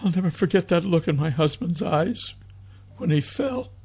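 A man voicing a frightened, grieving young mother, wary and protective, with a hushed, pleading manner, in an audiobook. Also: high-pitched, a little slow, creaky, husky, voice pushed up, heavily acted.

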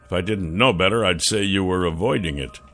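Man doing a blunt dragon prince in an audiobook, deep voice, lightly teasing. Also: fairly quick, fairly bright tone, soft background music. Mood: wary. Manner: plain, dry, teasing. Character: young male dragon prince in man form, blunt, wry.

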